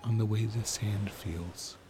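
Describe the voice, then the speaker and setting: A man narrating a guided sleep meditation in a soothing manner, leaving long pauses. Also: on the low side, steady tone, background noise.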